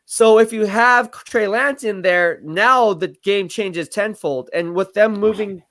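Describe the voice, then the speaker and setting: A man hosting a casual live stream on football, talking fast and energetically with lots of fillers and repeated words. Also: high-pitched, fairly bright tone. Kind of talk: casual sports analysis livestream.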